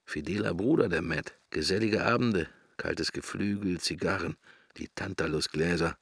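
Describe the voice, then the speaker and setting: A man's voice: deep voice, moderate pace, creaky, fairly bright tone, husky, no room sound, studio quality, full character voice. An actor playing a pensive middle-aged man in a radio drama, musing to himself wryly and morbidly in short, broken fragments. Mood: reminiscing. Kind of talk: German radio drama, interior monologue.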